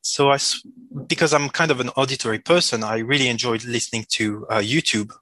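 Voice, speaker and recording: A man's voice: medium pitch, brisk, pushed and bright, dry recording. A native French-speaking man from Switzerland with a Swiss French accent and a slight cold, talking hesitantly as an interview guest. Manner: hesitant, self-correcting. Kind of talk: halting conversational interview talk.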